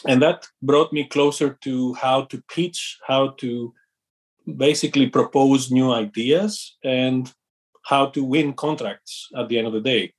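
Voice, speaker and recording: A middle-aged man from Greece, a company founder being interviewed, speaking thoughtfully with pauses and restarts. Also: mid-range voice, steady tone. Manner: thoughtful, measured, with fillers and restarts. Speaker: company founder and CEO, guest. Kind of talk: interview conversation.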